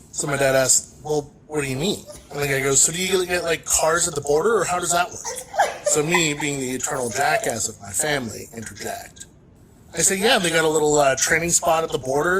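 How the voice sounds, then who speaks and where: Man, playful storyteller, slightly high voice, crowd chatter behind. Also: poor audio. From Canada. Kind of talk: storytelling anecdote.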